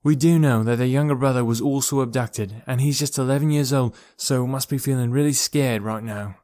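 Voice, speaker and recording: A man's voice: mid-range voice, fairly quick, dark tone, dry recording, studio quality, lightly acted. A man voicing an earnest, urgent young woman who leads a forest community. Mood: solemn. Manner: raised, earnest and pleading delivery.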